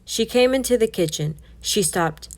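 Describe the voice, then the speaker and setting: A woman's voice: on the high side, lively intonation, moderate pace. Woman reading a children's storybook aloud. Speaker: children's read-aloud narrator. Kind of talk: expressive scripted storybook narration.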